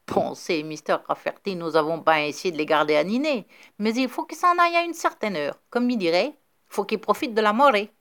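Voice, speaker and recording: A woman voicing a calm, good-humored old farm wife with homely country speech. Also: medium pitch, a little fast, voice pushed up, natural voice. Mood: placid.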